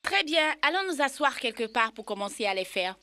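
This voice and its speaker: A woman's voice: high-pitched, sing-song, brisk, sharp tone, clear, resonant tone, full character voice. A woman voicing a cheerful, helpful female animal friend in a French-dubbed children's cartoon, playful and encouraging. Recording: music under the voice.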